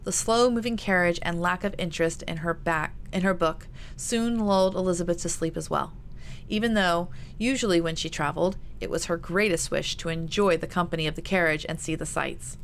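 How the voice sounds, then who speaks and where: A woman's voice: on the low side, brisk. A woman author reading her own historical novel aloud in an audiobook narration style. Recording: noticeable background noise.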